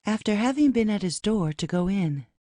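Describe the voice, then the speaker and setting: A woman's voice: medium pitch, animated, moderate pace, mellow, no echo. A woman narrator reading out scene headings and stage directions from a script.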